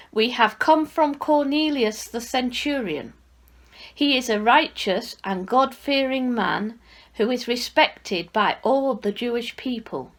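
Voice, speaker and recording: A woman reading a Bible passage aloud in a measured, expressive way, as a scripture reader. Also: on the high side.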